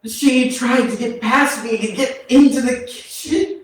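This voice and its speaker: A man in a staged play reading, acting a remorseful prisoner who confesses to killing his family in halting, subdued, terse phrases. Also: very high voice, steady tone. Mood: grim, haunted. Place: arts center stage, indoors, recorded from afar. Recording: very echoey hall, distant mic, background noise.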